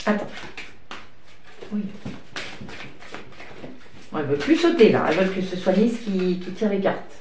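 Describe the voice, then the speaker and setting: A woman's voice: mid-range voice, very animated, unhurried, pauses often, a little mellow. A woman reading tarot cards in a rambling, hesitant way with many fillers, stuffy from a cold and coughing now and then, talking fondly to her cat and child. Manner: affectionate, talks to her pet and child. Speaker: tarot card reader. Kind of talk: casual card-reading chat. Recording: echoey room, distant mic, light noise.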